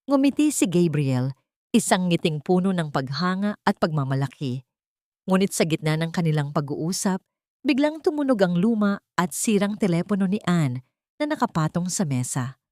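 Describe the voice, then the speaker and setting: A woman's voice: on the low side, big pitch swings, moderate pace, a little mellow, no echo, clean studio sound. A woman with a Filipino accent reading scripted, dramatic narration for an audio drama.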